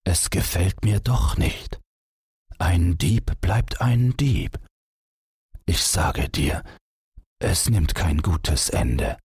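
A man's voice: sits low, expressive, very slow, pauses often, a little mellow, slightly rough, dry recording, studio quality, full character voice. A man voicing an old peasant farmer who is weary and stern. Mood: grave.

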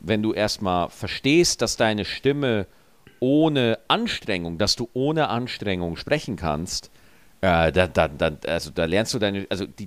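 A man with a regional German dialect and a rolled R, telling stories in a relaxed, joking podcast chat. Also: on the low side, clear, resonant tone, dry recording. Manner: lively storyteller, joking. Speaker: podcast co-host. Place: studio, feet up on desk.

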